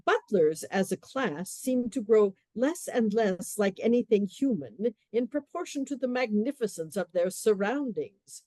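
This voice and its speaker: Woman narrating in a mellow, dry voice. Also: medium pitch, expressive, dry recording. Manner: measured, wry storytelling. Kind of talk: scripted narration of a radio play.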